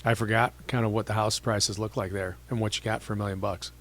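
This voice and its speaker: Male podcast host and lender, fast and chatty, lower pitch, upbeat. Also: level tone. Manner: chatty, upbeat, quick affirmations. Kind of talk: casual podcast interview.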